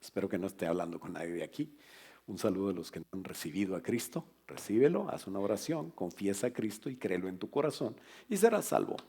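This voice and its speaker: A husky, lower-voiced man preaches with animated energy, joking with the congregation and then pressing his points home. He is a middle-aged pastor speaking in a church. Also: quick pace. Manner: lively preaching with humor and asides to the congregation. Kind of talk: sermon.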